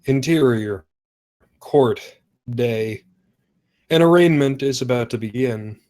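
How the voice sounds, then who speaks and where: Mid-pitched man narrating a script. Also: slow, warm tone.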